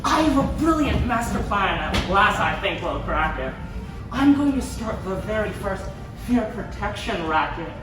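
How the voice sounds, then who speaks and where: A woman's voice: mid-range voice, big pitch swings, normal speed, natural voice. A woman playing a cocky, bossy young crook who declaims rhymes in a stage pantomime. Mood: scheming. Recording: echoey stage, distant mic, music behind.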